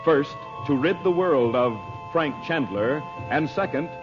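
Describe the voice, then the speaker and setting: A man's voice: a little high, lively intonation, unhurried. A man with an American accent, a vintage radio announcer reading scripted ads and serial narration. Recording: muffled old radio recording. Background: loud-ish music.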